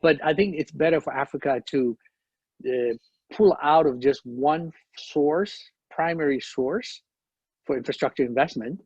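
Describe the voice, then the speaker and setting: A man from Ethiopia, a conference panelist, explaining his points in a measured way with frequent restarts. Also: a little high, a little mellow, husky, no echo, muffled recording. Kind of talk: panel discussion.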